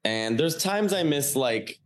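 A man's voice: fairly high pitch, lively intonation, slow pace. A man in his late thirties from the Chicago area with a Chicago accent, a stand-up comedian chatting loosely on a podcast, full of "like" fillers. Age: 30s. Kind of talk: casual podcast chat.

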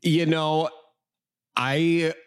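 A man in his thirties with a Wisconsin accent, hosting a casual chat, easygoing and halting as he asks questions. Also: fairly high pitch, level tone, clear, resonant tone. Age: 30s. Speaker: host.

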